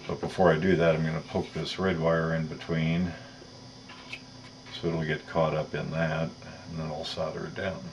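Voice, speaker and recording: A man with a deep, low voice walks through a practical task one step at a time. He explains methodically and in detail as he works, like a patient how-to instructor. There is faint noise behind his voice. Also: level tone, moderate pace, highs cut off. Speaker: electronics tutorial presenter. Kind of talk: step-by-step hands-on instruction, talking while working.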